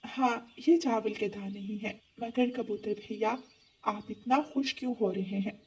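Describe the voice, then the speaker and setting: A woman's voice: medium pitch, fairly even intonation, a little slow, darkish tone, recorded from a distance, lightly acted. A woman voicing a kind, helpful young female sparrow in a children's cartoon. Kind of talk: Hindi cartoon story acting.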